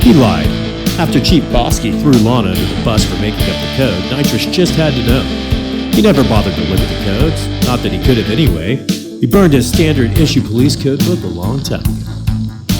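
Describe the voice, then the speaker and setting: A man narrating a scripted comedy audio drama in a wry, crude manner. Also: mid-range voice. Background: loud music with guitar.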